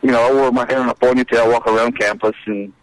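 A man in his sixties from Brazil with a Brazilian Portuguese accent, a guest recalling his playing days in a casual interview, halting with many repeats and restarts. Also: mid-range voice, steady tone, no room sound, low-quality recording. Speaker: guest, former college kicker.

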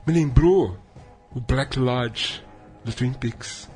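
A man's voice: very slow, darkish tone, soft background music. A man co-hosting a podcast, telling a sardonic, rambling story in casual comedic chat. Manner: rambling, sardonic storytelling with big swings in pitch. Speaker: podcast co-host.

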